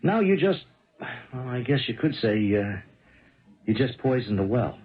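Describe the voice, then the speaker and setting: Actor as pushy brother, lively old-radio delivery. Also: male voice, mid-range voice, normal speed, natural voice. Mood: persuasive. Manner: probing, insistent, colloquial. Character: meddling, persuasive brother, shrewd and insistent. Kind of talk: 1970s radio drama acting. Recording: dull, muffled old radio recording.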